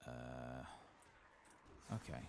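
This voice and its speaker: A man giving soft-spoken, half-whispered ASMR commentary on a game, murmuring low-key into a close microphone. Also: drawn-out words, vocal fry, background noise. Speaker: ASMR video game streamer.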